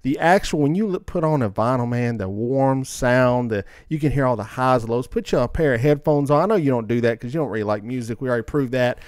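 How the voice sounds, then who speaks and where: A man's voice: medium pitch, quick pace, hardly any pauses, darkish tone, smooth, no room sound. A man hosting a casual podcast, bantering and debating in a colloquial, opinionated, teasing way. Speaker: podcast host.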